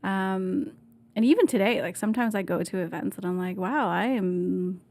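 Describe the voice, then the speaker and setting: A woman's voice: medium pitch, moderate pace, a little mellow. Chatty woman guest on a studio mic. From Vietnam, raised in Canada. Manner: chatty, laughs often, many fillers and restarts. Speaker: podcast guest. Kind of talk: candid conversational interview. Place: bright podcast studio. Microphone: desk mic on boom arm.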